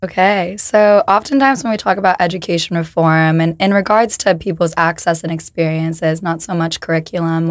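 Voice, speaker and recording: A young woman from the United States co-hosting an educational podcast, her voice hoarse from laryngitis, chatting casually with lots of "like" fillers. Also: on the low side, no room sound, studio quality. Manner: talks with many "like" fillers. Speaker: podcast co-host.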